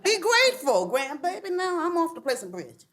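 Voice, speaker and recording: A woman's voice: very high-pitched, very animated, normal speed, a bit bright, a little hiss or hum, heavily acted. An actress playing a blunt, sly, sassy grandmother in a live comedy read. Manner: dry, cutting, sassy.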